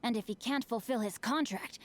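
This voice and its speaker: A woman voicing a refined, bookish teenage boy swordsman who speaks formally and calmly. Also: on the high side, brisk, close to an everyday voice. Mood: composed. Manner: eloquent, composed, formal.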